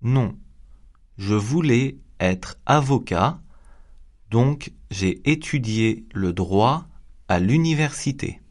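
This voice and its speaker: Brief: male actor as a calm interviewee recalling his childhood, very slow, over-clear exam delivery. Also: medium pitch. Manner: slow, carefully enunciated reading for learners. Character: adult man interviewed about his childhood. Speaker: actor in a language exam recording. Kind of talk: scripted exam dialogue.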